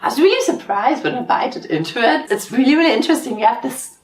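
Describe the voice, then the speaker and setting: A young adult woman with a German accent, chatting agreeably while tasting snacks and giving her own ratings. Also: sits high. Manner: agreeable, animated, quick to laugh along. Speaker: co-host. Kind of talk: casual food tasting chat. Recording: echoey room.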